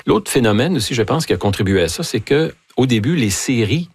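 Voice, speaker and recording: A man's voice: medium pitch, lively intonation, a little fast, breathy edge, dry recording, clean studio sound. A man with a Québécois accent, a guest in a casual conversation who explains his opinions thoughtfully and at length.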